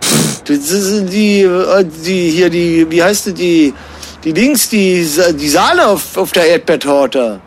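A man with a high, very animated voice chats casually as a talk host. He stumbles and repeats himself while hunting for the right word, and there is faint noise behind him. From East Germany. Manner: halting, searching for words, repeats himself. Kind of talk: casual bantering conversation.

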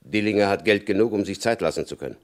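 An actor playing a stern, shrewd male FBI agent in a radio crime drama, speaking in a calm, probing, knowing way. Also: fairly low pitch, quick pace, lightly acted. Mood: determined.

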